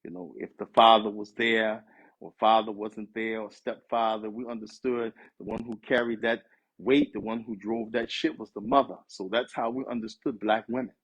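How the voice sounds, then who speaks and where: A man's voice: on the low side, level tone, moderate pace, a bit bright, slightly rough, rough recording. A man in his fifties from the US East Coast, giving a conversational sermon with Arabic phrases mixed in. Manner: conversational, street-inflected preaching with Arabic phrases.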